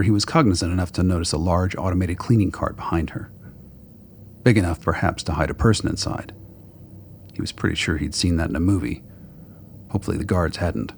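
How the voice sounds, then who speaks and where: A man with a gravelly voice reading scripted dramatic story narration. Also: deep voice, a little hiss or hum.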